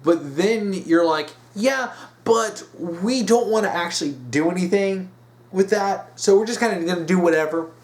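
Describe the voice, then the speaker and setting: A man's voice: high-pitched, moderate pace. A man giving casual opinion commentary in an exasperated, emphatic way, drawing out the words he stresses. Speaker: video commentator.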